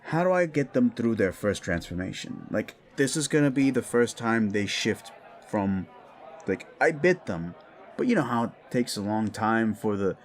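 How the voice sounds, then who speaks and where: A man's voice: mid-range voice, natural voice. A man voicing a scrawny, defensive werewolf bully who stammers, blusters and swears in an audio drama. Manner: flustered stammering, blustering, foul-mouthed. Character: Fenris, scrawny hot-headed werewolf bully, male, defensive. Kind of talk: scripted audio drama dialogue.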